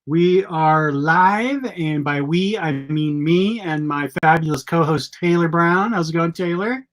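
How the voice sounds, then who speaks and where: A middle-aged man hosts a live show while sick, his voice gone gravelly. He sits fairly high in pitch for a man and rambles through casual chat, correcting himself as he goes. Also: unhurried, fairly bright tone. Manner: rambling, self-correcting, chatty. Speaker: podcast host.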